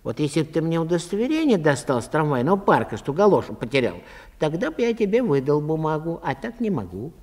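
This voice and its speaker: A man's voice: on the high side, sing-song, fast talker, a little mellow, pitched above the performer's own voice. A man playing a suspicious, officious house chairman in a comic story, speaking colloquially. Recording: long hall reverb.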